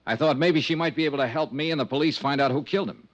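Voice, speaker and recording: A man's voice: a little high, very fast, lightly acted. An American man acting a persistent, curt, hard-boiled insurance investigator in a 1950s radio drama, with a clipped, insistent delivery. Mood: cool, curt. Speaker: star of a detective radio serial. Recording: dull, band-limited old radio recording.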